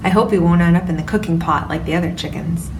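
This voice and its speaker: A woman's voice: on the low side, fast, reverberant, lightly acted. A woman voicing a cheerful young boy who lives on an island farm, in a childlike storybook reading, recorded from a distance with background noise.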